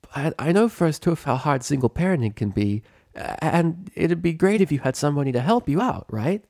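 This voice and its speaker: A man's voice: a little high, lively intonation, moderate pace, darkish tone, no echo, clean studio sound, pitched above the performer's own voice. A man voicing a brash but caring young female pony with a casual, cocky, earnest way of talking. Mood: shy, earnest.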